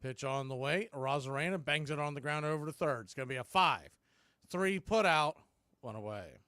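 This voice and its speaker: A man's voice: fairly high pitch, lively intonation, brisk, sharp tone, clear, resonant tone, dry recording. A man doing live baseball play-by-play commentary as the show's announcer, in terse, clipped calls.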